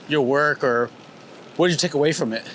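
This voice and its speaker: A man's voice: a little high, a little fast, fairly bright tone, low-quality recording. A man hosting a casual interview, asking conversational questions. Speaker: interviewer and show host. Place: outdoors on New York city streets. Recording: outdoor street noise with passing vehicles. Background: city traffic ambience.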